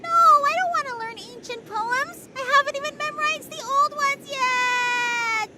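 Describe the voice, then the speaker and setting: A woman voicing a naive, whiny little girl in an anime game, childlike and lively. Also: very high-pitched, a little slow, very noisy. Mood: puzzled, whiny.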